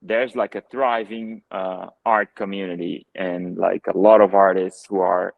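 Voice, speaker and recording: A deep, low-pitched man with a Brazilian Portuguese accent speaks over earbuds on a video call. He is in his thirties and answers thoughtfully, with plenty of fillers and restarts. The sound is dry and close, with no room echo. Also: level tone, a little slow, vocal fry, narrow, tinny audio. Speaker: photographer and guest. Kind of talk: casual video call interview. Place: living room at home.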